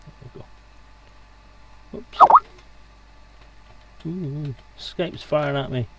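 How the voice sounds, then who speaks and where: A man's voice: on the low side, a little mellow, rough recording. A man hosting a live stream in casual, unscripted, rambling chat.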